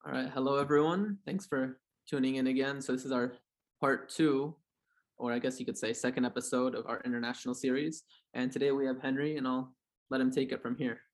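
A man's voice: medium pitch, fairly even intonation, brisk. A man giving a casual introduction as host of a show. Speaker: podcast host.

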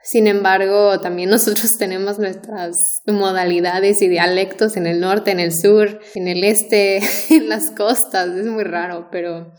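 A Mexican woman co-hosting a Spanish-learning podcast, explaining her points in an easygoing way. She adds asides and checks in with tag questions as she talks. Her voice sits at a medium pitch. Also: steady tone, a little slow, darkish tone. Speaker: Spanish teacher, podcast co-host. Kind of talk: casual podcast chat.

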